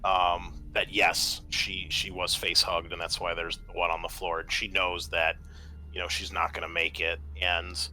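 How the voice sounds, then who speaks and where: A man's voice: deep voice, little pitch movement, moderate pace, sharp tone, a little hiss or hum, low-quality recording. A man acting as game master, narrating and explaining the rules of a tabletop roleplaying game.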